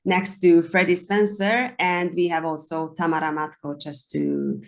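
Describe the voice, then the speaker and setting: A woman's voice: on the low side, unhurried. Woman searching for her words. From Hungary. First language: Hungarian. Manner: hesitant, searches for words, apologetic. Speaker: podcast co-host. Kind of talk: casual chat.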